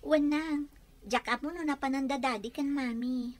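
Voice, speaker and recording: A woman's voice: high voice, fairly even intonation, moderate pace, lightly acted. An actress playing a patient young married daughter who soothes her mother in an Ilocano radio drama. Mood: placating.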